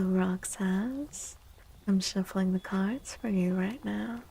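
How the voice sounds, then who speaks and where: A woman speaking softly and breathily, often near a whisper, in an unhurried, gentle ASMR livestream chat. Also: medium pitch, gravelly, slightly rough, low-quality recording. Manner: gentle, slow, unhurried, addressing chatters. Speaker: livestream host and tarot reader.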